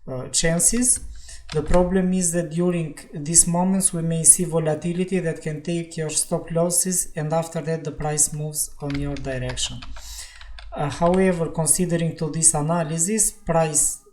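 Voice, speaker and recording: A trading analyst hosting a chart walkthrough, speaking slowly and deliberately. The voice is mellow and soft-edged, and the non-native English stays careful and explanatory. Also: mid-range voice, fairly even intonation, slight background noise. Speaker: trading analyst and channel host. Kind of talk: chart walkthrough trade review.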